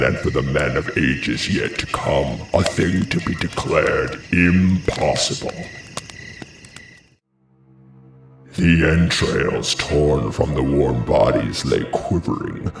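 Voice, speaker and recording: An actor playing a grave messenger in a classical tragedy, declaiming horrors in portentous, deliberate verse with a gravelly voice. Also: male voice, very deep voice, full character voice. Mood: anguished, horrified. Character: grave male messenger recounting a horrific crime. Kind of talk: solemn theatrical verse declamation. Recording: roomy, distant voice under music.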